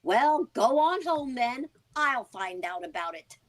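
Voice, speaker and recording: A woman's voice: a little high, sing-song, slow. A woman playing a stubborn, worried working-class wife in a vintage-style radio drama, speaking in an insistent, colloquial way.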